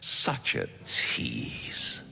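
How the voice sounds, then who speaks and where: An actor playing a suave, pompous devil in a video game, teasing and menacing in a florid, silky delivery. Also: male voice, extremely slow, sharp tone, long reverb tail, soft background music, exaggerated voice. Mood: mocking menace. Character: suave, theatrical male devil, smug, menacing tempter. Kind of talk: theatrical video game acting.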